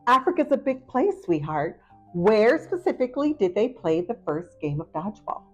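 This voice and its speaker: A woman voicing a kindly, encouraging woman school principal in a children's storybook, gentle and attentive. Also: medium pitch, sing-song, normal speed, highs cut off, faint music behind, lightly acted. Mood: curious.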